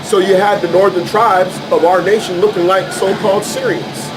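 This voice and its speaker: A man's voice: high-pitched, fairly even intonation, moderate pace, noisy background. An American man preaching and teaching scripture informally, arguing his points in an emphatic, repetitive, colloquial way. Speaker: street preacher and Bible teacher.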